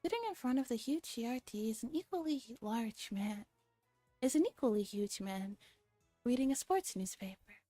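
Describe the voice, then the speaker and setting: A woman streamer with a soft, breathy, often whispering voice, reading a story and chatting casually in a relaxed ASMR style. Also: on the high side, moderate pace, no room sound, faint music behind. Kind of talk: ASMR visual novel read-aloud with casual chat.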